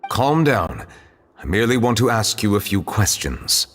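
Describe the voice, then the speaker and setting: An actor voicing a calm, stern male judge in a video game who speaks in a measured, formal way. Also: on the low side, slight background noise. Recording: game audio with soft music behind.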